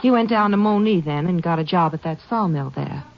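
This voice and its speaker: A woman's voice: lowish voice, expressive, fairly quick, creaky. An actress playing a folksy, gossipy small-town woman, musing through her answers in a radio western. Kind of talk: old-time radio western acting. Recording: dull old radio recording.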